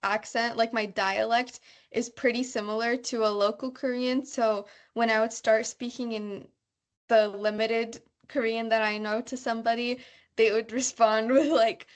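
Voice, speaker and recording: A woman's voice: on the high side, steady tone, normal speed. A young woman, a student abroad, chatting casually about daily life, with many fillers and "like"s. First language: bilingual. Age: young adult. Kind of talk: casual conversation.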